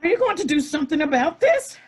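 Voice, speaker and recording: A woman's voice: high-pitched, big pitch swings, quick pace. A woman playing an arrogant, demanding, self-important businesswoman in her fifties at a comedy script table read. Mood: indignant. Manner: haughty, demanding, disdainful.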